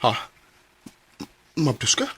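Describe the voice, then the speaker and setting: A man's voice: takes it slowly, no echo. A young man voicing a hesitant, regretful lover who narrates his own story in a radio drama. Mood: wistful. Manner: soft, hesitant, reflective. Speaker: narrator and lead.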